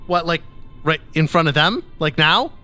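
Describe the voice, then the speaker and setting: A man's voice: on the high side, animated, moderate pace, pushed and bright, faint music behind. A man playing a flippant, flustered escaped convict in improvised tabletop roleplay, stammering and rambling as he goes. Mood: flippant, uneasy. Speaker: player in tabletop game.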